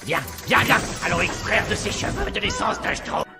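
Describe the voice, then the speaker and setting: Pompous wizard villain, wildly swooping voice. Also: male voice, high voice, wildly swooping pitch, moderate pace, gravelly, exaggerated voice. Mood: scornful, gloating. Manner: pompous, florid theatrical delivery. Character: pompous, scheming villain wizard, middle-aged man, theatrical. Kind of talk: French-dubbed family film acting. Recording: loud music behind the voice.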